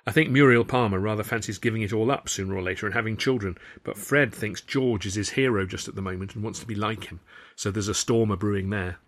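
A young woman in an audiobook story, voiced by a male narrator, speaks briskly and earnestly. She is chatty yet guarded, with a firm edge to her words. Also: lowish voice, a bit bright, natural voice.

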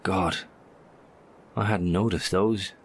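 An actor playing a cautious, worried male professor in a horror audio drama, speaking in a measured, tentative and earnest way. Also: deep voice, fairly even intonation, slight background noise. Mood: subdued, sympathetic.

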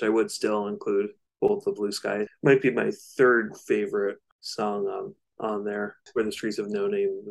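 A man co-hosting a casual discussion of music, speaking in hesitant, halting phrases. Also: lowish voice, darkish tone. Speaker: music discussion podcast co-host.

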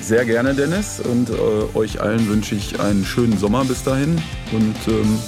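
A man's voice: on the low side, normal speed, over music. A man co-hosting a podcast in casual chat, playfully teasing. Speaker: podcast co-host.

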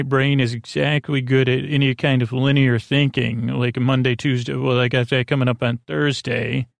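A man hosting a sleep podcast with a meandering, goofy, halting monologue that drifts into tangents. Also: medium pitch, creaky, no echo, clean studio sound. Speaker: podcast host. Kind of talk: rambling bedtime monologue introducing a sleep podcast.

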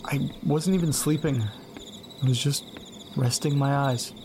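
A man acting in a naturalistic audio drama as a laid-back, sleepy, awkwardly friendly guy with a gravelly, husky voice. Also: mid-range voice, slow pace, noisy background. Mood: casual, curious. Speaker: lead actor in horror audio drama.

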